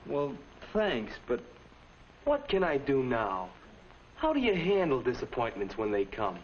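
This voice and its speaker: A young man playing an anxious, earnest, polite high school boy in a 1950s instructional film, speaking deferentially. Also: very animated, unhurried. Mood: troubled. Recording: muffled, noisy 1950s film soundtrack.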